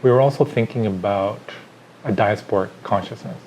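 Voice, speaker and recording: A middle-aged man from Chile talks through his ideas as an artist in an interview. His voice sits low, and he pauses often with fillers as he lists his thoughts one by one. A faint hum of room ambience runs behind him. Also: a little mellow, poor audio.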